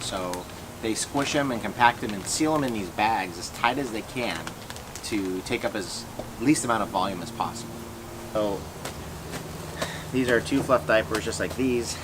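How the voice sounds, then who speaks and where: A man explaining casually and off the cuff to camera, conversational and a little hesitant. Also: fairly low pitch. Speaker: video reviewer giving a guest question. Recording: very noisy room with steady hum.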